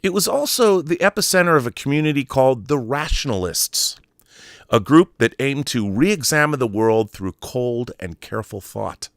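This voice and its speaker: A man with a medium-pitched voice reads written journalism aloud as the host of an online show. He breaks from the text for short asides of his own. The sound is dry, with hardly any room in it. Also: expressive, normal speed, dry recording. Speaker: YouTube host. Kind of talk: reading an article aloud with brief asides.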